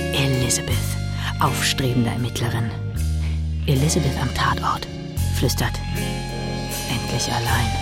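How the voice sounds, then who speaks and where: An actress playing an ambitious, stubbornly independent police investigator in a radio crime drama, with a dry, teasing, know-it-all manner. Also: female voice, rumbling low, gravelly, exaggerated voice.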